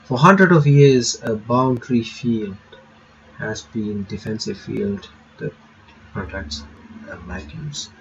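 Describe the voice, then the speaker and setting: A man reading a visual novel aloud, with halting reading broken up by quick reactions of his own. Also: on the low side, distant mic, muffled recording, a little hiss or hum. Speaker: reaction channel host. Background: game music playing.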